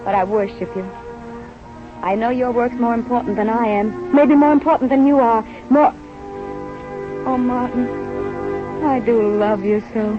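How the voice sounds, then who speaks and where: An actress playing a cheeky, devoted young nurse trainee from North Dakota in an old-time radio drama, speaking in a frank, informal way. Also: female voice, fairly high pitch, measured pace, pauses often, music in the background, lightly acted. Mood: adoring, possessive. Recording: muffled vintage radio broadcast recording.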